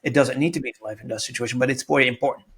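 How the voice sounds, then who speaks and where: A man's voice: medium pitch, fairly even intonation, rapid-fire, a bit bright, slightly rough. Interview host, male, Arabic native speaker; earnest, thinks aloud, restarts sentences. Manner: earnest, searching, frequent restarts. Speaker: podcast host. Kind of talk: conversational interview.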